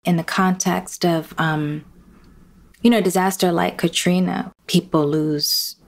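Gravelly, lowish-voiced woman. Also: fairly even intonation, measured pace. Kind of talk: reflective panel discussion.

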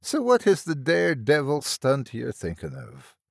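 Guy putting on an old irish lady nurse, higher voice, swoopy, bossy and motherly, folksy scolding. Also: wildly swooping pitch, normal speed, creaky, no room sound, studio quality, heavily acted. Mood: teasing.

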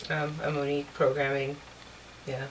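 Female guest, 20s, low register, casual conversation, slight room noise. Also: monotone, normal speed, recorded from a distance, rough recording. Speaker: college radio programming director, guest. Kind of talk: casual interview chat. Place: furnished indoor music room studio. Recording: faint background noise.

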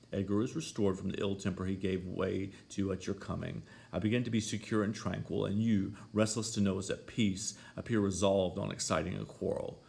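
Guy doing an imperious young lady, scolding and indignant, storybook drama, gravelly edge. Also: deep voice, darkish tone. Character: imperious, hot-tempered young gentlewoman.

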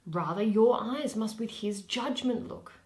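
A woman voicing a stately, commanding duke in Shakespearean verse, with a measured, declamatory delivery. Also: fairly high pitch, a little mellow, reverberant, close to an everyday voice. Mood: stern, advising. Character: stately duke, eager bridegroom and stern judge.

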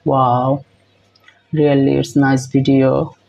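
A young man hosting a reaction video in halting, repetitive English with many fillers, filmed close on a phone in a small room. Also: mid-range voice, completely flat delivery, gravelly, a little mellow, rough recording. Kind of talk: casual reaction commentary.

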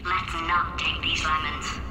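A woman playing a sardonic, determined female artificial intelligence in a video game, speaking in a measured deadpan. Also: sits low, very noisy. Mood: grim determination. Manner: deadpan, measured, dryly rallying. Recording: echoing, distant game audio under music.

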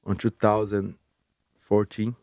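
A young man with a Brazilian Portuguese accent, a guest on a podcast in a studio, speaking English haltingly and searching for words. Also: on the low side, flat delivery, a little mellow, dry recording. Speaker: interview guest, fighter. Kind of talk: casual interview. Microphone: studio mic on boom arm, headphones.

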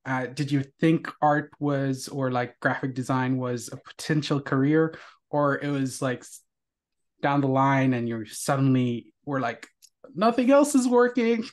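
A man's voice: mid-range voice, measured pace. A man in his thirties whose accent mixes Indian and Irish. He speaks close to the microphone as a podcast host, asking questions in a casual, conversational style. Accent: mixed Indian and Irish. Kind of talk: casual interviewing. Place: indoors, small room with wall art, on a video call. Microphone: large microphone on boom arm, close.

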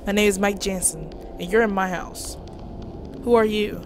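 A woman's voice: medium pitch, normal speed. Woman chatting over a game, noisy recording. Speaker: gaming video co-host. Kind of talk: reading game dialogue with casual chat.

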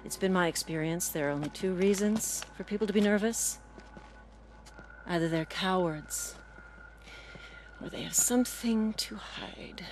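A woman playing a cold middle-aged government commander in a video game, measured, condescending and quietly threatening. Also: medium pitch, slight background noise, close to an everyday voice. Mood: cold, probing. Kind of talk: video game cutscene acting.

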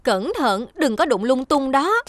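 A woman's voice: sits high, very animated, a little slow, no room sound. A woman voicing a friendly, caring young female mechanic in a children's cartoon dub. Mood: cheerful.

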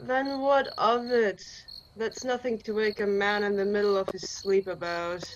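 A gruff keeper of a roadside stand, acted by a woman in a radio play. She is curt and suspicious, scolding in folksy words. The recording is a little dull. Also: mid-range voice, steady tone, unhurried, close to an everyday voice. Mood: irritated, scolding. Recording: slightly muffled online recording.

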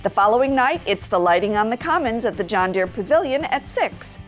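A middle-aged American woman narrates scripted event listings for television. Her voice is on the high side, with light music playing underneath. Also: animated, brisk. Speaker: TV segment presenter. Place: TV studio.

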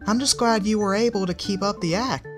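Give me a timid, sweet young changeling girl who talks modestly in a raised, soft voice, as a reader puts her on. Faint music plays under her. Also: medium pitch, brisk, voice pushed up, natural voice.

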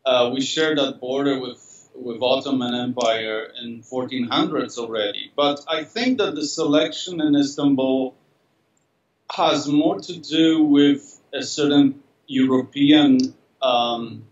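A middle-aged man, answering interview questions slowly over a video call, with many fillers and restarts. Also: medium pitch, slight background noise. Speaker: interviewed artist. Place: studio room, indoors. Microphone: laptop webcam on a video call. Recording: roomy, distant, dull video call audio.

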